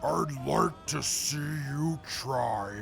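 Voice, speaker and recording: Pompous, very creaky, slow-talking man acted. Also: medium pitch, faint music behind, exaggerated voice. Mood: indignant. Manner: pompous, formal wording. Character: haughty, disdainful, pompous male wasteland crew member. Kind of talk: audio drama acting.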